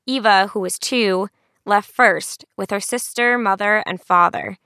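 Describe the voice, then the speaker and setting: A woman reporter narrating a documentary in a clear, steady scripted style. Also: medium pitch, unhurried, no echo, studio quality.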